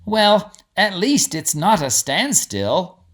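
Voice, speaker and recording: A man plays an optimistic male traveler in a fantasy story. He muses in an upbeat way, with a raised and animated pitch. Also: takes it slowly, heavily acted. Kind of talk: storybook character dialogue.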